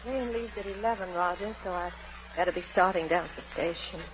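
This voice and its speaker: A woman's voice: fairly low pitch, a little fast, natural voice. A woman acting a devoted young wife and mother in a vintage radio drama, theatrical and heartfelt. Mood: sad resolve. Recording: muffled old radio recording.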